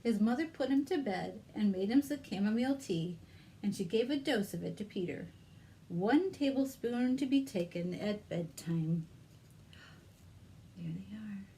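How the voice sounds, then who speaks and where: A woman telling a story to young children as a librarian at storytime, expressive, with chatty and sometimes whispered asides to her listeners. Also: mid-range voice, low-quality recording. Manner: asks young listeners simple questions. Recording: noticeable background noise.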